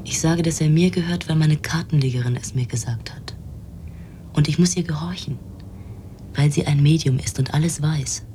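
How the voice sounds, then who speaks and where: Radio play role: actress as confident, superstitious rival in love, low voice, insistent, rumbling noise behind. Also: female voice, normal speed, mellow, close to an everyday voice. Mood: possessive, insistent. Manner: insistent, assertive. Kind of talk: radio drama acting.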